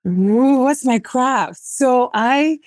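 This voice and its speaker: A woman's voice: high-pitched, animated, very slow, no room sound. Reflective Nigerian woman, dry close sound. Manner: warm, reflective, searching for words with restarts. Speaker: guest, writer and poet. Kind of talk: casual conversational interview. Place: home in Lagos, remote call.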